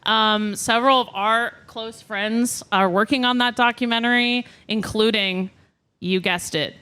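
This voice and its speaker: A woman's voice: fairly high pitch, fairly even intonation, a little slow, sharp tone, clean tone. A woman casually co-hosting a podcast at a live show. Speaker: podcast co-host. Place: live show before an audience.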